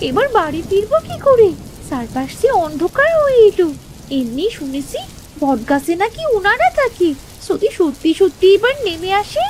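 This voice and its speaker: Very high-pitched little cartoon girl. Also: big pitch swings, moderate pace, darkish tone, slight background noise, low-quality recording. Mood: worried. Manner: childlike, pleading. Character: little village girl, eager, easily frightened sister. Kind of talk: children's cartoon voice acting.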